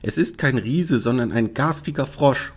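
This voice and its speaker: Man voicing a high, plaintive young storybook princess. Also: normal speed, husky, close to an everyday voice. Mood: anxious. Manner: plaintive, childlike, eager delivery. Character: young spoiled princess, girlish and anxious. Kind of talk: fairy-tale storybook character reading.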